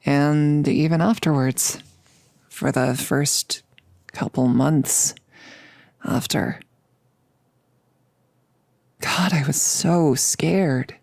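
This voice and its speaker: A close, dry ASMR roleplay voice with a mellow tone: a performer acting as a nervous girlfriend who jokes to cover it. The delivery is halting and confessional, unhurried, dipping into whispers. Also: mid-range voice, creaky, no echo. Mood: earnest, relieved. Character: nervous, joking girlfriend confiding before becoming a vampire. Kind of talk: intimate ASMR audio roleplay.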